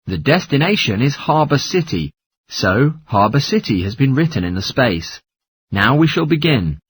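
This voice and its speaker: A man's voice: mid-range voice, very animated, normal speed, slightly rough, no room sound. A man narrating scripted exam instructions in a formal, instructional manner. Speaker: test narrator.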